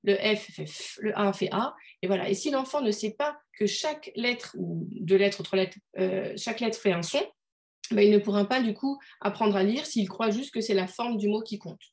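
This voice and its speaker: A woman runs an online workshop over a video call. She explains in a loose, conversational way, pausing often with fillers and restarting her sentences. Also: mid-range voice, a little mellow. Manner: hesitant, many fillers, conversational. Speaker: workshop leader.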